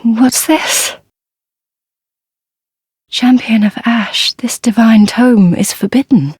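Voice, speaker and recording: An actress playing a gentle, devout and fearful blind young nun in a dark fantasy game, speaking softly and humbly in a breathy, whispery voice. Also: female voice, medium pitch, dry recording, clean studio sound. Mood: earnest, hesitant. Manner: halting, humble, formal, reverent.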